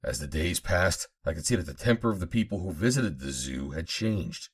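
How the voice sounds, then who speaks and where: A man reading an adventure novel aloud as an audiobook narrator, in a measured, formal storytelling manner. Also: low voice, animated, slightly rough. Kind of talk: dramatic scripted narration of an old adventure novel.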